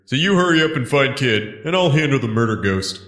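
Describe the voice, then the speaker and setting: A man's voice: mid-range voice, lively intonation, a little fast, a bit bright, natural voice. A man voicing a laid-back, wry skeleton in a dramatic comic dub. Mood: wry, reassuring. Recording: echoey, long reverb.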